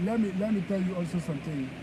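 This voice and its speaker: A man chatting outdoors, halting and repeating himself as he searches for his words. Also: sits high, heavy background noise. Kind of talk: casual street chat.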